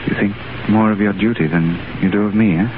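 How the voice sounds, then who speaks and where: An actor playing an idealistic, stubborn and wry English diplomat in a 1930s radio drama. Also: male voice, lowish voice, fairly even intonation, brisk, natural voice. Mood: stunned, defiant. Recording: noisy, narrow-band 1930s radio broadcast.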